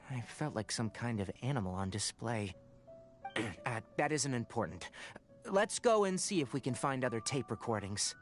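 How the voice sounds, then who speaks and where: A woman playing a reserved young academic in a video game, speaking in a measured, matter-of-fact way. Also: a bass voice. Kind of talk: video game dialogue acting.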